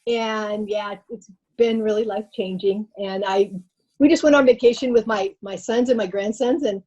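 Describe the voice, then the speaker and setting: A woman's voice: medium pitch, fairly even intonation, normal speed, dark tone. An older woman introducing herself on a video call, chatty and meandering. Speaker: participant. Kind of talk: casual self-introduction. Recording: dull muffled video call audio.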